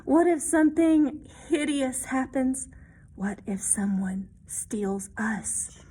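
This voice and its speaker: Woman voicing an anxious, high-pitched little kitten. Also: animated, slow, mellow, slightly rough, exaggerated voice. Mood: worried. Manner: dramatic, worried, exclaiming. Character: anxious young kitten fretting over imagined scary things.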